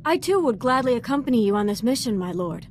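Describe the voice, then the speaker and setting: A woman's voice: on the high side, sing-song, fast, a little mellow, a little hiss or hum. A woman playing an eager, courteous young apprentice in a video game, polite and formal. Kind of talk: scripted video game acting.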